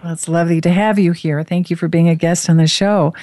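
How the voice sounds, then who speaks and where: Woman, show host, gushing manner, mellow tone, close dry sound. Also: on the low side, fairly even intonation, fast talker, no room sound. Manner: effusive, gushing. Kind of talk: warm interview talk with guest introduction.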